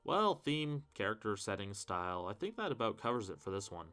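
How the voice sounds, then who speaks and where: A man's voice: on the low side, big pitch swings, fairly quick, a bit bright, clean tone, soft background music. A man giving casual literary commentary as a video host, with a wry, self-deprecating manner and mildly sweary asides. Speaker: video host reviewing horror fiction.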